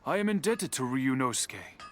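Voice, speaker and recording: A man voicing a stern, patient old master swordsmith in an anime-style video game, formal, measured and dignified. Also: mid-range voice, big pitch swings, a bit bright, a little hiss or hum. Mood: formal, obliging. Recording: background music under voice.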